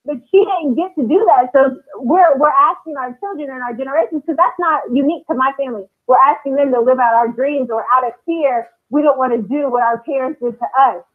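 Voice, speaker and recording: An American woman speaking earnestly and deliberately in a personal, reflective discussion, over thin call audio. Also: high voice, phone-quality sound.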